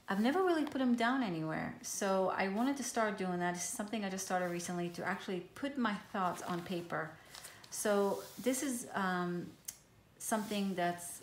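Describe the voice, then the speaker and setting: A woman with a lowish voice, a Hebrew native, talks through her craft project in a relaxed, meandering way, often pausing with ums and restarting. She is recorded in an echoey room with a faint hiss behind her. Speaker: craft vlogger. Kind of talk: casual show-and-tell of a handmade journal.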